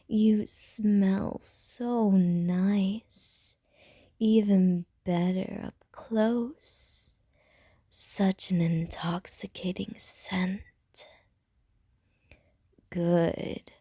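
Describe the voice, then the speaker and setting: A woman voicing a flirtatious, hungry female vampire in an ASMR audio roleplay, whispering softly and teasingly. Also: medium pitch, fairly even intonation, drawn-out words, pauses often, husky, no room sound, clean studio sound. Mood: sultry whisper.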